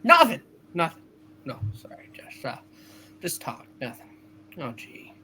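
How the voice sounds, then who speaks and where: A man playing an earnest, frustrated small-town young husband, halting and emotional, in a hammed-up radio play reading. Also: on the high side, wildly swooping pitch, pushed and bright, in a whisper, exaggerated voice. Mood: dejected. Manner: halting, emotional, repeating himself.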